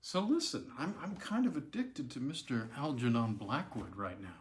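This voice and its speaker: A man reading a classic weird tale aloud in a measured, expressive storytelling manner. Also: mid-range voice, a little hiss or hum. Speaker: reader of classic weird tales.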